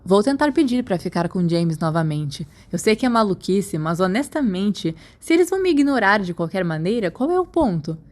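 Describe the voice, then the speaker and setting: A woman with a Brazilian accent narrates fiction in audiobook style. Her voice sits at a medium pitch for a woman, and she reads from a script. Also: sing-song, moderate pace, few pauses, darkish tone. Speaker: podfic narrator.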